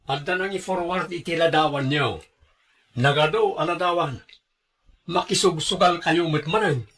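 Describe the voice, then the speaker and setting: Man acting firm grown son, higher-pitched voice. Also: normal speed, fairly bright tone. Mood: firm, reproving. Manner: firm, reasoning. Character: responsible grown son working abroad, firm with his mother. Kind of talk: radio drama acting.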